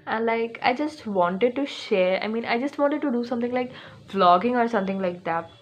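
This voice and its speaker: A woman's voice: fairly high pitch, moderate pace. A teenage girl with an Indian English accent chatting into her phone camera for her first vlog, rambling with lots of fillers and restarts, indoors in a small room at home. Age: teens. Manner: rambling, chatty, with many fillers and self-corrections. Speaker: vlogger. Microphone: phone camera held close.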